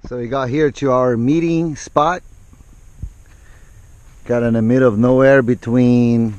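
A middle-aged man giving relaxed, unhurried running commentary for his vlog, recorded outdoors on an action camera. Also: medium pitch, muffled recording, slight background noise. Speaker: vlogger. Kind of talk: casual vlog narration. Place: outdoors in open desert.

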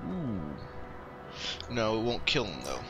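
A young man with a low voice talks at an unhurried pace, his tone rising and falling in lively swings as he muses over a strategy game. He is wry and jokingly bosses the characters around. Chiptune music plays clearly behind him while a shower runs somewhere nearby. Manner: wry, barks playful orders at game characters. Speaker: gaming video host. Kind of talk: casual let's-play commentary. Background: chiptune music playing and a shower running.

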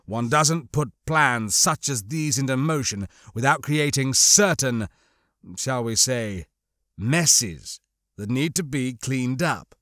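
A man's voice: mid-range voice, expressive, fairly bright tone, no echo, clean studio sound. Man voicing Prince Xizor, a cold, scheming crime lord. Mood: cold, measured. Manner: mocking, disdainful, menacingly measured. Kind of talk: audiobook character acting.

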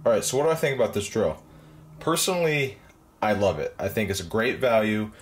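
A man's voice: lowish voice, a little fast, heavy background noise. A man giving a casual, plainspoken tool review, with frequent ums and uhs. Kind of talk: casual product review voice-over.

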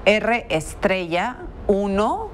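A woman's voice: medium pitch, very animated, extremely slow, slight background noise. Mexican woman news anchor, conversational and emphatic. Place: TV studio at a news desk. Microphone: lapel mic.

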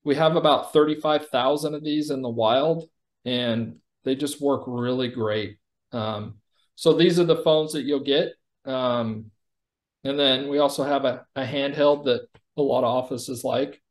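A man's voice: medium pitch, steady tone, unhurried. A man giving a conversational product demo as a company executive, explaining things informally.

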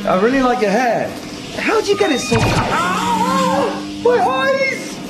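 A man's voice: extremely high pitch, animated, takes it slowly, heavy background noise, heavily acted. An actor in a comic radio play, playing a stranded, persistent male fan with an earnest, eager manner. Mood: puzzled, alarmed.

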